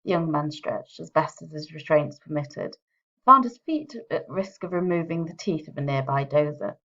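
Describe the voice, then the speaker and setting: A woman author speaks in a slightly low voice with strong vocal fry. Her delivery is wry and a touch theatrical, suited to presenting her own book. The recording is a somewhat dull, muffled home one. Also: normal speed, fairly bright tone. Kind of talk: chatty introduction, then expressive audiobook-style reading.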